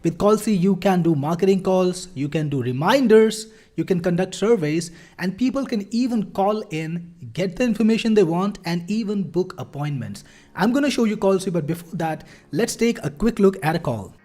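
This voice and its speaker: A man presenting a promotional software walkthrough in an explanatory, salesy manner. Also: high voice, lively intonation, normal speed. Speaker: product demonstrator.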